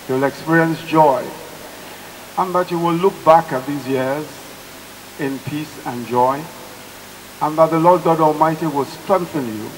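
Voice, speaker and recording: Nigerian male clergy, rhythmic fervent prayer, higher voice, light hiss. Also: low-quality recording. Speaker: clergyman praying. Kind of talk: public prayer. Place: large church hall. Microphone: handheld microphone.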